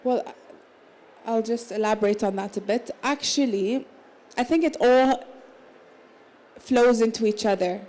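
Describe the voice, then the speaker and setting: A woman's voice: a little high, measured pace, pauses often, mellow. A woman in her thirties, raised in the Emirates, talking casually as a panel guest at a literary salon. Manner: conversational, with restarts and small laughs. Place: literary salon at a book fair.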